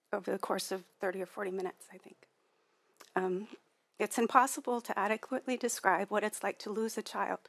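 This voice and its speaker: A woman from California with a soft, quiet voice giving prepared testimony at a hearing. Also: mid-range voice, no echo. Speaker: witness at a hearing.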